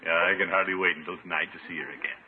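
An actor playing a pompous, excitable middle-aged uncle in a 1950s radio sitcom, blustery and self-satisfied. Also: male voice, deep voice, racing, natural voice. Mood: smug, jolly. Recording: tinny, hissy 1950s radio recording.

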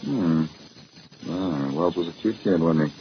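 An actor playing a cocky, wisecracking amateur detective in 1940s radio style, glib, sarcastic and teasing. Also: male voice, low voice, a little slow. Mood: teasing, smug. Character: cocky, wisecracking amateur detective man, needling a police inspector. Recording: muffled, hissy old-time radio recording.

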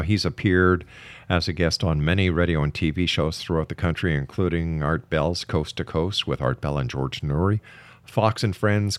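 A man with a very low voice hosts a radio interview show. He speaks like a formal announcer, recorded close and dry. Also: dry recording. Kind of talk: radio interview hosting, reading a guest introduction.

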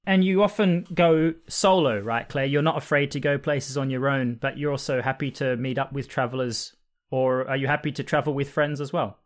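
A man whose Australian accent has been worn down by years abroad hosts a relaxed interview over a video call. He talks in a measured way, trading stories and asking easy follow-up questions. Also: mid-range voice. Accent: mixed Australian. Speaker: podcast host.

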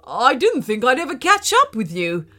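A woman acts out a big storybook wolf with impeccable manners. He is breathless and apologetic, with a forceful, swooping delivery. Music sits underneath. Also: high-pitched, huge pitch swings, normal speed, full character voice. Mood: relieved, friendly. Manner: courteous, hesitant. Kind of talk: children's storybook character voice.

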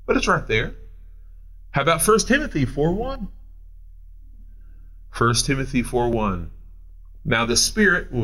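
A man in his forties, a pastor preaching a sermon, animated and answering imagined questions, moving up and down as he talks. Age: 40s. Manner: animated, swooping pitch, paces while preaching.

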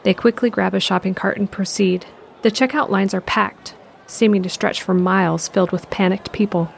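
A woman narrating an audio drama in a calm, measured, descriptive way. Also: medium pitch, creaky, slight background noise. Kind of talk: scripted narration.

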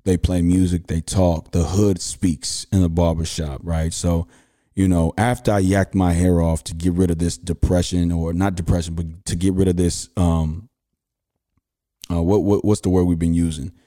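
A man's voice: sits low, little pitch movement, moderate pace, warm tone. A man, hosting a podcast and telling a story casually with slang and fillers. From Houston, Texas. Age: 30s. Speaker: podcast host.

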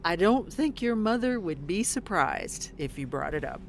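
A woman's voice: fairly low pitch, very animated, moderate pace, creaky, a little hiss or hum. An actress playing a blunt, weary middle-aged woman fresh out of prison in an audio drama, swearing in exasperation. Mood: earnest.

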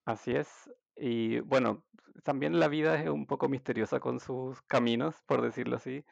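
A man with a Chilean Spanish accent, chatting as a podcast guest in a relaxed, hesitant storytelling way. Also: medium pitch, moderate pace, gravelly, dry recording. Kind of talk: casual interview chat. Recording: dull, dry audio.

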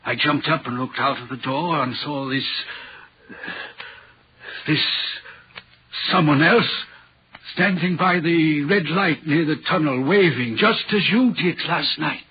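A man's voice: a little high, takes it slowly, husky, exaggerated voice. An actor playing an anxious, troubled railway signalman in an earnest, dramatic 1940s radio horror style. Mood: haunted, agitated. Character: haunted, anxious railway signalman telling of a spectre. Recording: dull, muffled 1940s radio recording.

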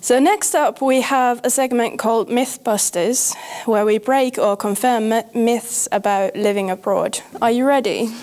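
A woman's voice: a little high, steady tone, unhurried, hardly any pauses, a little mellow. A woman interviewer who asks short questions and introduces the segments. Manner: friendly and brief, leads segments. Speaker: interviewer host. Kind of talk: casual interview.

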